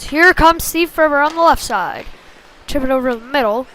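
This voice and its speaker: A woman calling a youth hockey game live in short, clipped phrases, getting excitable on big plays. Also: sits high, moderate pace, slight background noise. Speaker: play-by-play hockey commentator. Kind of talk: live sports commentary.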